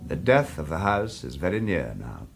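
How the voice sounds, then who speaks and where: A man narrating an audio drama in a theatrical, expressive way, telling the story vividly in the present tense. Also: deep voice, normal speed, creaky, noisy background. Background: loud music.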